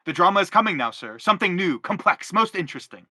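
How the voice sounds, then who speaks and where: Actor as an anguished, bright-voiced philosophizing father. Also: high voice, lively intonation, fast, no echo, studio quality, heavily acted. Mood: earnest, pleading. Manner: declamatory, formal, rhetorical. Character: self-justifying, anguished middle-aged father, given to philosophizing. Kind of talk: theatrical stage play acting.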